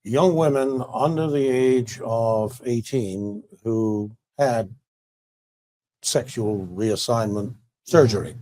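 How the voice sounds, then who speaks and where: A male interview host with a mellow, relaxed tone. He asks questions in halting bursts, restarting and rephrasing as he goes. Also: medium pitch. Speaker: podcast host.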